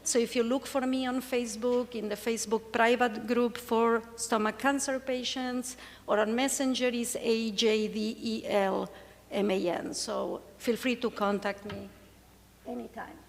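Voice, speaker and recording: A woman's voice: a little high, steady tone, a little slow. Argentine woman presenting in a hall. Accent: Argentine Spanish. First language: Spanish. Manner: candid, joking, upbeat. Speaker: patient speaker. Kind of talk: conference presentation. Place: stage in a large hall. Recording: long hall reverb.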